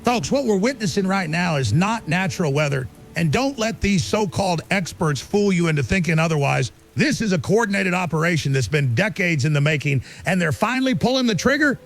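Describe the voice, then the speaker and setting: A man playing an urgent, paranoid conspiracy broadcaster in a radio drama, gravelly and accusatory. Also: sits high, lively intonation, a little fast, a little hiss or hum. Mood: alarmed, accusatory. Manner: emphatic, accusatory.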